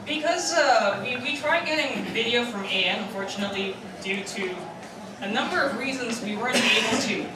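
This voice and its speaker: Higher-pitched woman in a very echoey room. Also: normal speed. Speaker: convention panelist. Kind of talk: casual panel talk to an audience. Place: convention panel room. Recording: very echoey, distant, noisy panel room.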